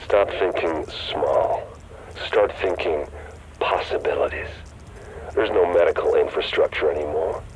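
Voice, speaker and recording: A man voicing a calm, calculating rogue leader who speaks persuasively and matter-of-factly in a game cutscene. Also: sits low, lightly acted. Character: scheming male rogue leader, cool and calculating. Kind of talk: video game cutscene dialogue. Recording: game audio with noisy ambience.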